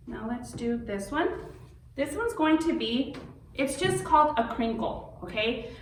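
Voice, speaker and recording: A woman's voice: a little high, fairly bright tone. A woman giving an informal step-by-step craft demonstration as an instructor, reassuring and often checking in with an "okay". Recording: roomy, distant mic with faint noise.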